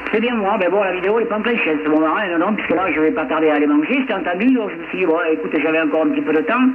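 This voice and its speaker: A man's voice: sits high, very fast, over a noisy crowd. A man chatting casually as a radio operator, his voice coming through a radio transmission. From France. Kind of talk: casual radio contact chat. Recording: narrow, noisy CB radio transmission.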